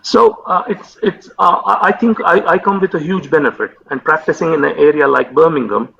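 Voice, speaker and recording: Gravelly halting man on a video call. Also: fairly high pitch. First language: Urdu. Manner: halting, many fillers and repeated words. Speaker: consultant psychiatrist guest. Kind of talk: interview over video call. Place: home room with window. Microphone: video call webcam. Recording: dull lo-fi video call audio.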